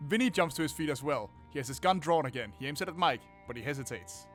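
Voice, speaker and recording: A man with a fairly high voice hosting a game playthrough. He reads narration and comments casually and expressively, swearing freely, with faint music behind him. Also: animated, fast talker, a bit bright. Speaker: gaming video host.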